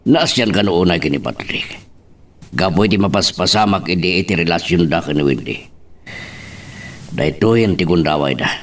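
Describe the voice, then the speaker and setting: A man's voice: low-pitched, lively intonation, moderate pace, pauses often. A man playing a grave, protective, remorseful middle-aged father in an Ilocano drama. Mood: earnest. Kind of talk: Ilocano radio-style drama acting. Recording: distant mic, background noise.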